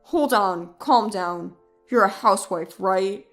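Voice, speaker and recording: A woman's voice: mid-range voice, slow, a bit bright, soft background music, pitched below the performer's own voice. A woman voicing a defensive cheating husband in a scripted story, pleading and condescending, with emphatic delivery.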